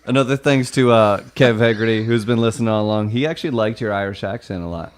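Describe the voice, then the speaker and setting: A man's voice: lowish voice, fast talker. Casual, joking podcast man. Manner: crude, teasing humour. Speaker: podcast co-host.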